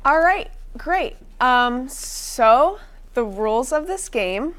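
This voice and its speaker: A young woman with a slightly high voice hosts a student-made quiz show in a college TV studio. She talks in an upbeat way but stumbles now and then, with ums, uhs and restarts as she explains the rules. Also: expressive, takes it slowly, fairly bright tone. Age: young adult. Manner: upbeat, with frequent fillers and restarts. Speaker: game show host.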